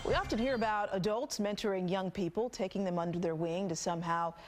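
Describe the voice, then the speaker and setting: A woman's voice: mid-range voice, quick pace. Female American news anchor over music. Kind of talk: scripted news reading with light co-anchor banter.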